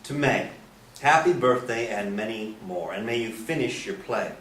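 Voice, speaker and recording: An actor playing a genial, fun-loving priest in a staged play reading. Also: male voice, lowish voice, expressive, normal speed, a bit bright. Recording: roomy distant stage recording, faint noise.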